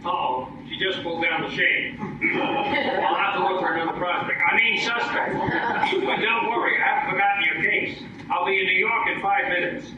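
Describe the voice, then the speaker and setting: A man's voice: sits high, moderate pace, lightly acted. Echoey wisecracking lecherous detective played by a man. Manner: glib, deadpan wisecracking. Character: wisecracking, lecherous comic detective impersonating a famous quizmaster. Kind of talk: old time radio comedy acting. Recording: noisy, dull, very echoey live stage, distant.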